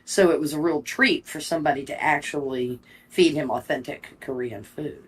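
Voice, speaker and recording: A woman with a very low voice for a woman chats casually as a stream co-host. She tells her story in a chatty way, stopping and restarting her sentences. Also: normal speed, slight background noise. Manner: chatty storytelling with false starts. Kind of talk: casual livestream chat.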